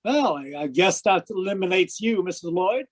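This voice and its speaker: An actor playing a calm, courteous police inspector in an old-time radio mystery, speaking with measured authority. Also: mid-range voice, lightly acted. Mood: methodical.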